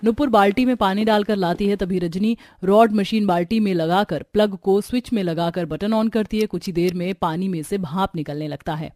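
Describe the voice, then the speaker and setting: A woman's voice: medium pitch, normal speed, few pauses, a little mellow, dry recording, clean studio sound. A woman narrating a scripted cartoon story.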